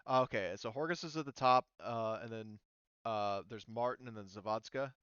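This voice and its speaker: A man acting as game master, casually refereeing a tabletop game and thinking aloud in a halting way. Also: medium pitch, fairly bright tone, clean tone, dry recording, highs cut off.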